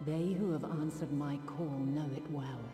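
A woman's voice: deep voice, steady tone, measured pace. A woman playing an ancient, weary, motherly goddess in a game cutscene, speaking in stately, solemn archaic phrases. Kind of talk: scripted game cutscene acting. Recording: echoing long reverb over background music.

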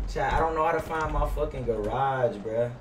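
A man's voice: mid-range voice, measured pace, far from the mic. A young man with an American accent talks brashly on a gaming livestream, full of slang and swearing. His voice sounds roomy and a little off the mic, with faint noise behind it. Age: young adult. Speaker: game streamer. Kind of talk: casual gaming livestream chat with role-play banter. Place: indoor room at streaming setup. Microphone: gaming headset.

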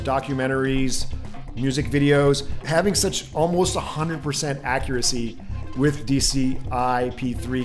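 A middle-aged man with a North American accent explains technical matters to camera in an emphatic way, repeating key words for stress, recorded on a desk studio mic. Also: medium pitch. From Canada and the United States, born in Czechoslovakia. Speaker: video creator and colorist presenting. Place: small room indoors at a desk. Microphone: studio condenser mic on desk stand. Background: music.

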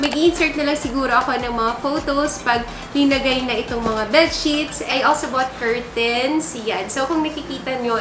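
A woman's voice: fairly high pitch, echoey room. A young woman from the Philippines chatting through an unboxing vlog at home, mixing Tagalog and English. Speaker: vlogger. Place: living room at home.

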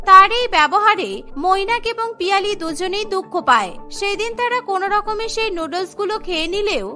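A woman's voice: extremely high pitch, pushed and bright. A woman narrating a scripted cartoon story.

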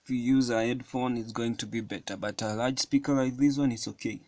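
A man giving a hands-on electronics tutorial at a table, explaining each step slowly with frequent fillers. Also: mid-range voice, fairly even intonation, darkish tone, slight background noise. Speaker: electronics hobbyist demonstrating a circuit. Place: indoors at a wooden table.

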